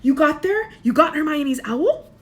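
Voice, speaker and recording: A brave young schoolboy wizard who blurts out urgent, high-pitched exclamations, as a woman puts him on. His voice leaps up with alarm and eagerness. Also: lively intonation, fairly quick, lightly acted.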